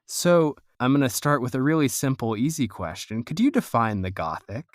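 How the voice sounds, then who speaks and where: A man's voice: medium pitch, very animated, normal speed, no echo, clean studio sound. A man hosting a podcast, introducing and interviewing in a conversational way. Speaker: podcast host and producer.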